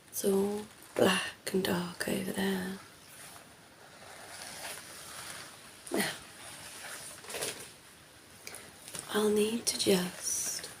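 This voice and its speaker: Woman whispering as a slow, ditzy, flirty vampire. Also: mid-range voice, background noise. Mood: soothing, flirty. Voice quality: breathy, often whispered. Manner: slow, teasing, coy, giggly. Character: ditzy, flirty female vampire, cheeky pink-lover. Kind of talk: ASMR roleplay, soft speech and whispering.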